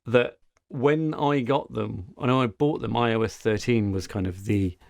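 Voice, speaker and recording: A man's voice: medium pitch, moderate pace, vocal fry, dry recording. A man co-hosting a podcast, telling a story in casual, rambling chat with frequent restarts. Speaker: podcast co-host.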